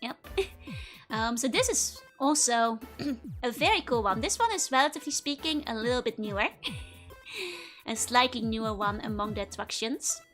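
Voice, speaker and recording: A woman's voice: fairly high pitch, lively intonation, normal speed, long pauses, fairly bright tone, faint music behind. A woman with a Dutch accent, streaming and chatting casually with viewers, giggling often and rambling with lots of fillers. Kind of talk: casual chat to live viewers.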